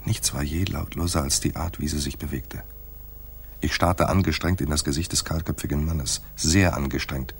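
An actor playing a wry, tough private detective in a hard-boiled radio play, husky and laconic, in dialogue and narration. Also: male voice, extremely low pitch, fairly even intonation, moderate pace, slight background noise. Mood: terse, tense. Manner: dry, understated.

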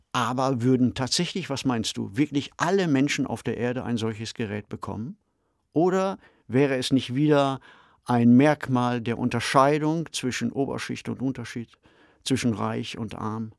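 Creaky-voiced male podcast co-host. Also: mid-range voice, a little mellow, no echo, studio quality. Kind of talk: conversational discussion.

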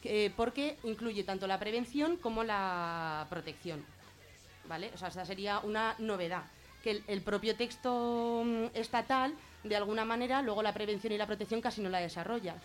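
Female radio guest, Spain accent, medium pitch. Explains in everyday words, halting and thinking aloud, unscripted. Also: soft background music. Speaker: guest explaining gender-violence legislation on a radio show. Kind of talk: informal explanatory talk.

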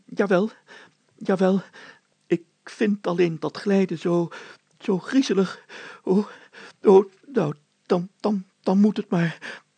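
A man's voice: sits high, takes it slowly, gravelly, long pauses, warm tone, breathy edge, no echo, pitched above the performer's own voice, full character voice. A man voicing a plump, fearful older mayor who stammers, blusters and protests in a children's story. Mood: panicky, hesitant. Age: middle-aged to older.

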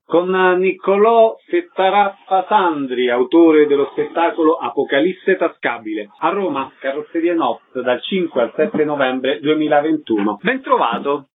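A man's voice: on the high side, lively intonation, unhurried, hardly any pauses. A man with an Italian accent working as a radio interviewer, putting prepared questions to a guest. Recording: dull, clearly noisy line with clicks.

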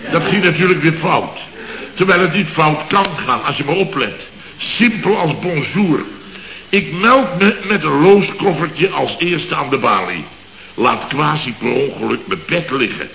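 A man's voice: fairly high pitch, animated, unhurried, gravelly, bright, forceful tone, echoey room, slight background noise, heavily acted. An actor playing a blustering, boastful firm boss who tells his mishaps with exasperation, in a 1960s radio comedy. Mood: exasperated. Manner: exasperated comic storytelling, keeps exclaiming. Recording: lo-fi old radio recording.

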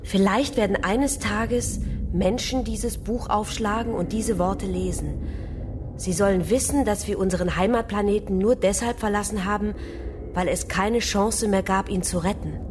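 A woman's voice: mid-range voice, normal speed, noisy background, close to an everyday voice. A young woman playing a resolute teenage girl space voyager in a science fiction radio drama, speaking earnestly and with measure. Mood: solemn reading.

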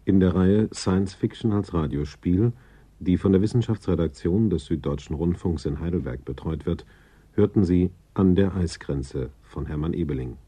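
A man reading a scripted radio credits announcement in a husky, dark voice. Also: low-pitched, normal speed.

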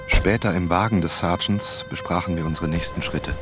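An actor playing an inquisitive writer who investigates and narrates in a German radio crime drama, probing and curious. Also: male voice, sits low, fairly even intonation, normal speed, music in the background, lightly acted. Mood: narrating.

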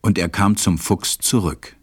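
A man narrating a scripted story for a radio play in a measured, calm storytelling manner. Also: low-pitched, slightly rough.

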